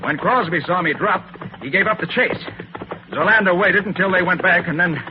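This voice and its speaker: An actor playing a confident, sharp-witted master detective in an old radio drama, explaining things in a brisk, assured way. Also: male voice, high-pitched, lightly acted. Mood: urgent, recounting. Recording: muffled, hissy old radio recording.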